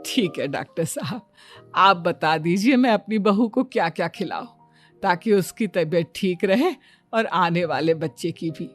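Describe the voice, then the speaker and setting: Older mother-in-law for a cartoon tale, female performer: fond, exclamatory, highly varied pitch. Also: animated, measured pace, soft background music. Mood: fond, agreeable. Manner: exclamatory, questioning. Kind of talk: Hindi cartoon story dialogue.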